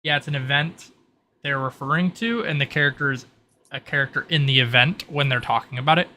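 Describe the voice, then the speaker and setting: Bright-voiced man running a tabletop game. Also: medium pitch, fairly quick, a little hiss or hum. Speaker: game master. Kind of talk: improvised narration of a tabletop roleplay.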